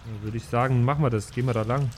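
A man's voice: mid-range voice, fairly even intonation, racing, slight background noise. A man chatting casually as a player in an improvised tabletop role-playing game.

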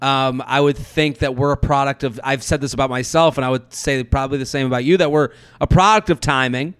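A man in his thirties hosting a podcast, chatting casually with lots of fillers and animated asides. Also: medium pitch, fairly quick, smooth. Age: 30s. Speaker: podcast host.

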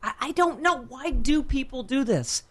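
A woman with a lively, melodic voice who jokes her way through political talk. She swears freely and riffs quickly. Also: high-pitched. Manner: joking, lively, profane banter. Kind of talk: casual political chat.

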